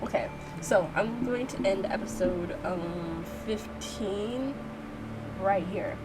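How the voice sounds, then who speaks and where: A woman's voice: mid-range voice, slow pace, heavy background noise. A woman hosting a casual let's-play, chatting informally as she reacts to a story game. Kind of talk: casual gameplay reaction, reading dialogue aloud.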